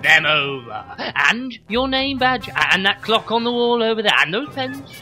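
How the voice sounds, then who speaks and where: An actor playing a pompous mad-scientist supervillain in a comedy audio drama, with grandiose theatrical delivery. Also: male voice, high voice, sing-song, moderate pace, bright, forceful tone, full character voice. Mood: gloating.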